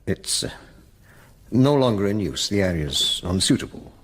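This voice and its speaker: An actor playing a reserved, deferential land agent in a radio drama, formal and clipped, with a husky, gravelly voice. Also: male voice, fairly low pitch, sing-song, moderate pace, a little hiss or hum. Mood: guarded. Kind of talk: British radio drama acting.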